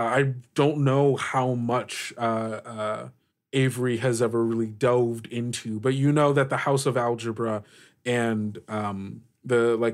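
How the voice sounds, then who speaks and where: A man narrating an improvised tabletop game as game master, feeling his way through descriptions with frequent "like". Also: mid-range voice. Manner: halting, searching descriptions with frequent "like".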